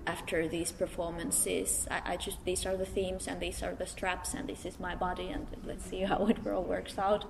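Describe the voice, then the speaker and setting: A woman's voice: lowish voice, level tone, moderate pace, creaky, few pauses. A woman artist being interviewed, talking casually and reflectively, searching for her words. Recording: noisy, reverberant hall recording.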